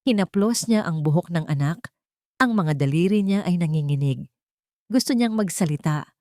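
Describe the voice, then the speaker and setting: A woman narrating a scripted dramatic love story as a storyteller, in measured, short dramatic phrases. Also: lowish voice, expressive, mellow, no echo, studio quality.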